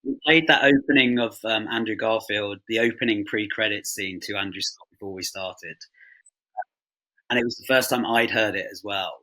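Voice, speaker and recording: A man's voice: fairly low pitch, normal speed, bright, forceful tone, rough recording. A man on a production team telling an anecdote in a panel talk.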